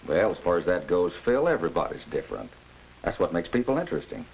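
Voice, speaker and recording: A man playing a middle-aged American father in a 1940s educational film, giving measured, reassuring advice. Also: low voice, very animated, gravelly, a little mellow, heavily acted. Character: calm, reasonable middle-aged father advising his son. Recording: muffled, noisy 1940s film soundtrack.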